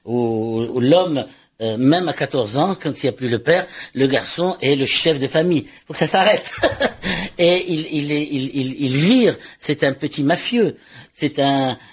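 A man's voice: fairly high pitch, breathy edge. A man from Morocco, a novelist speaking in a radio interview, who stumbles, repeats words and laughs often. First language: Arabic and French. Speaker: novelist guest.

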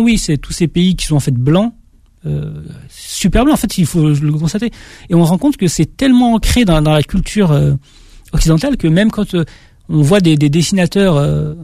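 A man's voice: fairly high pitch, creaky, dark tone, dry recording, clean studio sound. A young man from France with a slight Auvergne accent, an author answering interview questions in a hesitant way, with frequent restarts. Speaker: author guest. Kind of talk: interview conversation.

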